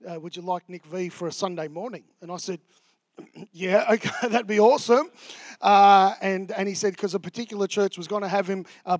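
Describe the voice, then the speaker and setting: Australian male pastor, higher register, chatty filler-heavy preaching, dry close mic. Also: no echo. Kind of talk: sermon.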